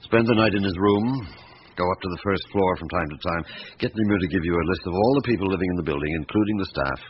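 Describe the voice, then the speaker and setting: Weary male detective played by an actor, deep register, measured and dry, radio drama with audible hiss. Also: lightly acted. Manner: probing, measured questioning with dry retorts. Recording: muffled, hissy radio drama recording.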